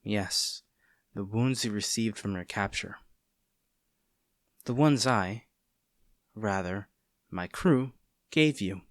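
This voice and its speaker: A man performs a smug agent in an audio drama, taunting an old friend turned enemy. The voice is on the low side and very slow, with deliberate theatrical pauses, recorded close and dry. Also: no room sound. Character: smug, taunting male agent, villain's bitter former childhood friend. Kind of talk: dramatic scripted roleplay monologue.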